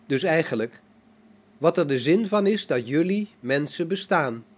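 An amateur actor in a radio play as a calm, pious robot, a man speaking in a measured, solemn, preachy manner. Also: on the high side, husky. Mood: polite, serene.

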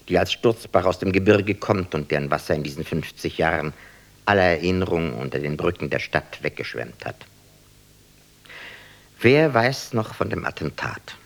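A man reading scripted literary narration for a German radio play. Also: sits low.

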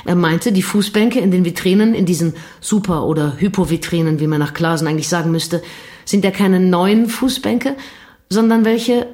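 A woman's voice: lowish voice, warm tone, close to an everyday voice. An actress playing a thoughtful, slightly intimidated woman in an audio drama, speaking in a reflective, questioning way.

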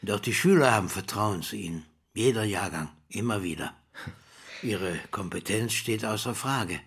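An older school principal in a German radio drama. His voice is fairly low and very husky. He speaks with formal, oily courtesy, pausing weightily as he flatters and schemes. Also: exaggerated voice. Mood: flattering. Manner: formal, unctuous, measured with weighty pauses.

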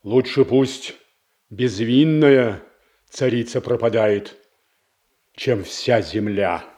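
Actor as slow-spoken, stern old Russian prince. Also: male voice, mid-range voice, mellow, lightly acted. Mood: resolute. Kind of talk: classical stage acting for radio.

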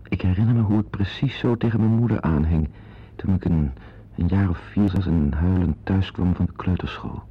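A man's voice: normal speed, breathy edge. An actor playing a needy, flustered man in a radio play, with a hesitant, confiding manner. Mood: confiding, savouring. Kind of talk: radio drama acting with asides to the listener. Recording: dull old radio recording with rumbling noise.

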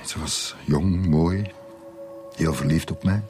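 Very deep-voiced, troubled Flemish man. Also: music in the background. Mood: regretful. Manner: halting, subdued. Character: troubled male school principal confessing, resigned and hesitant. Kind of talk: naturalistic radio drama acting.

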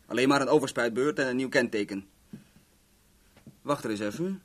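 A man's voice: medium pitch, moderate pace, fairly bright tone, natural voice. Cocky, chatty male actor as garage owner. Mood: frank, offhand. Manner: chatty, rambling, self-assured. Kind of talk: Dutch 1970s radio play dialogue.

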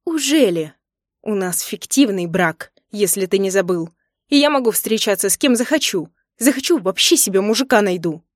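A woman's voice: medium pitch, expressive, moderate pace, no room sound, clean studio sound, close to an everyday voice. A woman voicing an indignant, hurt young wife who snaps back emphatically in an audiobook story. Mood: defiant. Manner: snappy, emphatic, raised voice.

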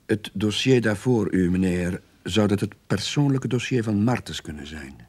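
An actor playing a reluctant, put-upon underling in a radio play, dry and pointed, who also narrates. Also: male voice, lowish voice, animated, normal speed, darkish tone, slightly rough. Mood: politely official. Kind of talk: radio play acting with first-person narration.

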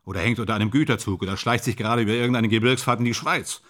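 A man's voice: mid-range voice, rapid-fire, a bit bright, lightly acted. A man playing a weary, wryly fatalistic stage actor in a German radio drama, telling things in clipped, ruminative phrases.

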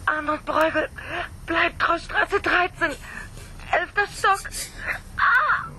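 Kreischende Frau in Todesangst. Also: female voice, slow pace, sharp tone, a little hiss or hum, full character voice, poor audio. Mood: terrified. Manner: shrill screaming. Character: terrified woman screaming for help. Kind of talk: radio play acting.

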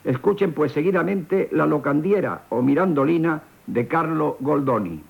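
A man's voice: fairly high pitch, lively intonation, normal speed, creaky, slightly rough. A man giving formal, erudite scripted commentary as a theatre critic on 1970s radio. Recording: thin phone-like 1970s radio sound.

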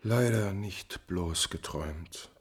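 A man playing a smooth, evasive courtier in 1950s German radio drama, with a formal, deferential way of speaking. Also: low voice, slow pace, full character voice. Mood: guarded politeness. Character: smooth, guarded male courtier, evasive and servile to his prince.

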